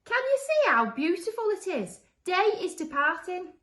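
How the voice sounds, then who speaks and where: A touchy storybook monster acted out by a woman exclaims in a shrill, high voice. The insults come loud and sing-song, with big swoops. The room rings around the voice. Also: sing-song, moderate pace, exaggerated voice. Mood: excited. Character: first monster, male, touchy, loud, name-calling. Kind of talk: children's storybook character voice. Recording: roomy, echoey sound.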